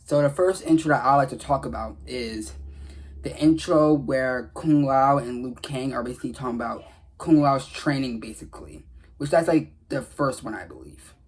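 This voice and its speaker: A man's voice: medium pitch, normal speed, breathy edge. A man giving casual, earnest commentary on game dialogue in a rambling, repetitive way. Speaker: gaming video creator.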